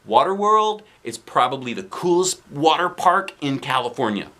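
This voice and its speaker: Animated man reading a children's book. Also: a little high, moderate pace. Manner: expressive, animated. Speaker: storybook reader, dad. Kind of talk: children's audiobook-style read-aloud narration.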